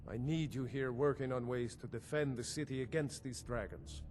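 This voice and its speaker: Fantasy game role: male actor as a grave, authoritative city lord giving orders, medium pitch, faint score. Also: moderate pace, a little mellow, soft background music, close to an everyday voice. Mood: commanding, grave. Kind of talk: scripted game dialogue.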